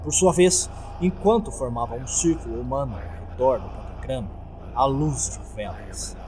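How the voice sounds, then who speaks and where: A man's voice: medium pitch, moderate pace, dark tone. A man with a Brazilian accent reads a scripted horror story as a dramatic narrator. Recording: faint background noise.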